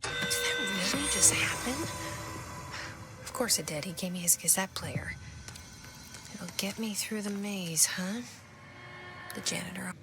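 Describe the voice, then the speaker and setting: An actress playing a determined, guarded young woman in a video game, speaking in a hushed, intimate inner-monologue style. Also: medium pitch, a little slow, pauses often, loud music behind the voice, close to an everyday voice. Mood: bemused. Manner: hushed, intimate, often half-whispered.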